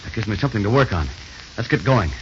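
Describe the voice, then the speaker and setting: An actor playing a shrewd, authoritative police corporal in a 1930s radio drama, brisk and probing. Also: male voice, lowish voice, animated, lightly acted. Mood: alert, questioning. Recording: dull, faintly noisy 1930s radio recording.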